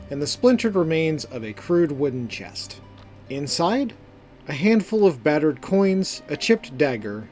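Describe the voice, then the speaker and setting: A man narrating a scripted fantasy story in a measured, deliberate, dramatic manner. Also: fairly high pitch, a little mellow. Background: background music.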